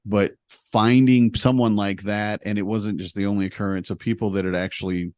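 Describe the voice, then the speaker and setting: A man telling a travel story in casual conversation, animated and poking fun at himself. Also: on the low side, moderate pace, dry recording. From United States. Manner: animated, self-deprecating, humorous storyteller.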